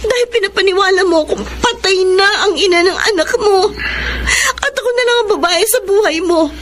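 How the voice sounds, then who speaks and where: A woman's voice: extremely high pitch, brisk, fairly bright tone, slight background noise. An actress playing a heartbroken, betrayed young woman in an emotional, melodramatic radio drama style. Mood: tearful. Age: young adult. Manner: emotional, pleading and reproachful. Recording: drama with music behind the voice.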